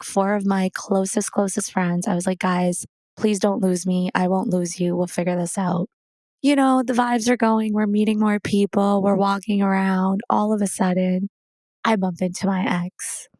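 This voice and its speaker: A young woman hosting a podcast, telling a story in a chatty, animated way and then welcoming a guest. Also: medium pitch, normal speed, no echo. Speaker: podcast host. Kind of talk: casual storytelling and interview chat.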